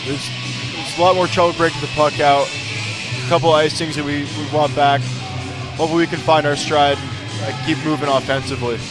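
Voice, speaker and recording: A man's voice: on the high side, flat delivery, normal speed, poor audio. A man giving live color commentary on a hockey game in a plain, conversational way. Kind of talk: live sports analysis. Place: ice rink during a live game. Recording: music playing loudly behind live commentary.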